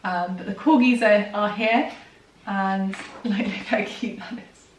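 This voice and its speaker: A woman in her thirties, hoarse from a recent illness, chatting casually and enthusiastically about children's books with many ums and soft laughs. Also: medium pitch, measured pace. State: hoarse, recovering from a lost voice. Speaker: book reviewer and vlogger. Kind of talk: casual book review chat. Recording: roomy and distant from the mic, faint noise.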